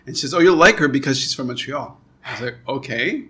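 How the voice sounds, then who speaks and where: Bright-voiced chatty man. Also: mid-range voice, animated, fast talker. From Quebec, Canada. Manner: jokey, chatty, thinks out loud with fillers. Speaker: podcast host. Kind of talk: casual conversation.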